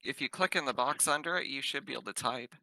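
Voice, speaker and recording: A man in casual conversation during a tabletop game. His medium-pitched voice is very bright and forceful, and the recording is close and dry. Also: fast talker, creaky, dry recording, low-quality recording.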